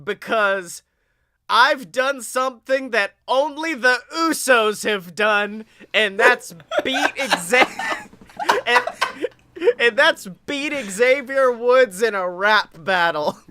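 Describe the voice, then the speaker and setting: A young man hosting a casual wrestling podcast in an excitable, playful, hyped-up way. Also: extremely high pitch, slow pace, slight background noise. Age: young adult. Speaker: podcast host. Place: small room at home, on a video call.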